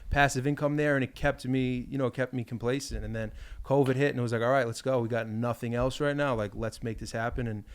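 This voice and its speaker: A man talks reflectively in a casual podcast chat, his voice a bit clogged from a cold. His tone stays smooth and even despite it, and his phrasing is loose and relaxed. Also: mid-range voice, quick pace, few pauses. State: congested from a cold. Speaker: podcast guest, painter.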